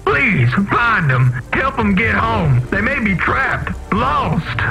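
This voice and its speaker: An actor playing an earnest, masked refugee from underground vents in a video game, pleading in dramatic, formal wording. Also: male voice, sits high, very animated, slow, gravelly, bright, forceful tone, faint music behind, full character voice, poor audio. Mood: harried, grave.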